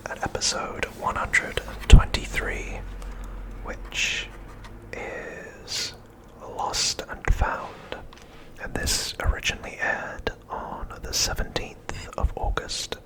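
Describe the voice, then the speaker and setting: A man narrates in a steady ASMR whisper, soft and unvoiced. His delivery is slow and deliberate, leaving pauses between phrases as he reads out summaries. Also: low-quality recording. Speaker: host of an ASMR channel.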